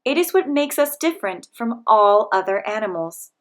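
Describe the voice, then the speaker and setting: A woman reading a picture book aloud to children, in a gentle, measured way. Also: mid-range voice, very animated.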